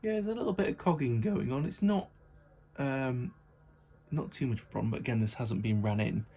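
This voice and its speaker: A slightly croaky man chatting casually as he shows off and tests a model locomotive, repeating his praise and filling pauses with ums. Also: medium pitch, dark tone. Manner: chatty, repeats praise words, many fillers. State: croaky from hay fever and little sleep. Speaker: model railway hobbyist reviewer. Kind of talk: casual unboxing and test-run commentary.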